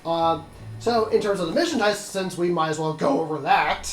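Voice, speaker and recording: A man hosting a let's play, explaining the game in casual, halting commentary. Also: fairly high pitch, echoey room.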